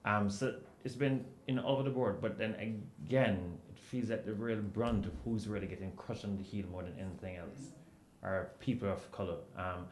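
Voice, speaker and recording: A man with a lowish voice talks on a writers' panel in a reverberant hall. He tells things as stories, full of "you know" and lively acted-out quotes, over a faint room hum. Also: moderate pace, hardly any pauses. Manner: animated storyteller, acts out quotes. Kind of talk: panel discussion. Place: event hall with audience. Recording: echoey room.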